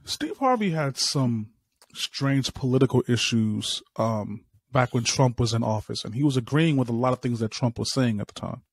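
A man co-hosting a podcast, telling stories in relaxed slangy casual chat over a headset on a video call. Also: mid-range voice, moderate pace, no echo. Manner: laid-back slangy storytelling. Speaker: podcast co-host.

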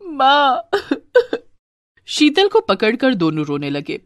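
A woman's voice: lowish voice, very animated, moderate pace, no echo. A woman narrating a scripted moral story.

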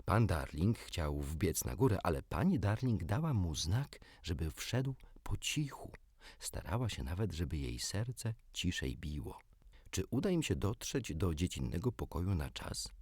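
A man's voice: sits low, big pitch swings, a little slow, darkish tone, breathy edge, no room sound, studio quality. A man narrating a children's storybook expressively, as an audiobook reader.

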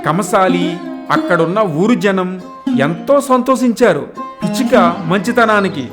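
High-pitched male storyteller over music. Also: animated, measured pace. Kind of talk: scripted moral-tale narration.

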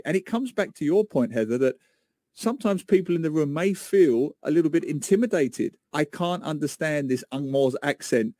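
A man's voice: mid-range voice, mellow, dry recording. A man co-hosting a radio interview in a studio, speaking with emphatic enthusiasm. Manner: enthusiastic, emphatic, repeats words. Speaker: radio co-host. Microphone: studio microphone with headphones.

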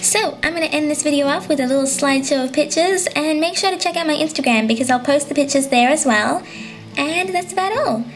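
A woman's voice: high-pitched, a little fast, faint music behind. A young woman hosting a toy channel, chatting cheerfully through a casual unboxing. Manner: bubbly, chatty, cutesy.

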